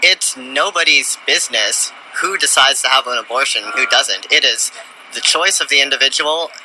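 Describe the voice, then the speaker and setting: A young man explaining his views in halting, measured phrases in an outdoor street interview. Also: mid-range voice. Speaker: student protester and interviewee. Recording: faint street noise behind.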